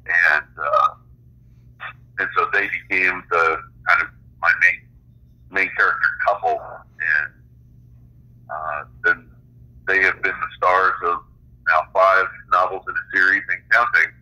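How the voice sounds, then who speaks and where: Low, very bright-voiced middle-aged man. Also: fairly even intonation, a little slow, pauses often, poor audio. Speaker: interview guest, author and game designer. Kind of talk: casual interview chat about own work.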